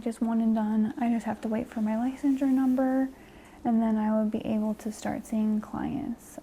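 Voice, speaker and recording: Softly whispering young woman, very dark tone. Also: on the high side, a little hiss or hum, rough recording. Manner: soft half-whisper with frequent fillers. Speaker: guest. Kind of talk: soft-spoken ASMR chat.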